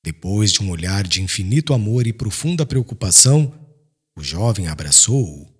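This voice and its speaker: A man's voice: on the low side, animated, moderate pace, slightly rough. A man narrating an audiobook in a solemn, emotive and formal literary style. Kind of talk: dramatic scripted literary narration.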